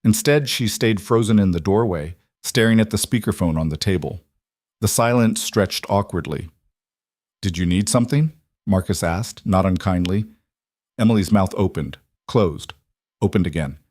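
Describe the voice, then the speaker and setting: A man narrating a dramatic story in a steady, scripted storytelling manner. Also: low-pitched, normal speed, no echo, clean studio sound.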